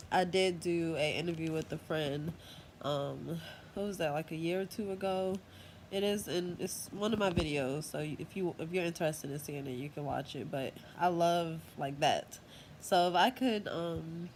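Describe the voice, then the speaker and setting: A woman's voice: fairly low pitch, a little hiss or hum. Stuffy-nosed young woman chatting to camera. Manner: rambling, chatty, full of fillers. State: congested from a cold. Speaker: channel host. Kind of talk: casual vlog monologue. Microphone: tablet microphone.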